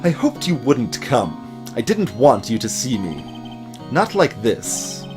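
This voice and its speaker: A man's voice: medium pitch, sing-song, takes it slowly, over music, pitched below the performer's own voice. A man voicing a proud, bitter male attorney for a video game, haughty, clipped and sardonic. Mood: ashamed.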